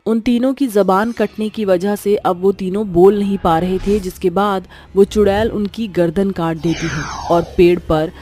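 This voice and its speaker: A woman's voice: mid-range voice, mellow, a little hiss or hum. Woman narrating a scripted horror story.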